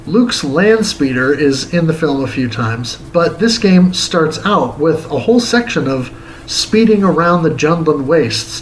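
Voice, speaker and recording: A man's voice: on the high side, a little hiss or hum, low-quality recording. A man hosting a retro game review series, reading his scripted review aloud in a theatrical, tongue-in-cheek way.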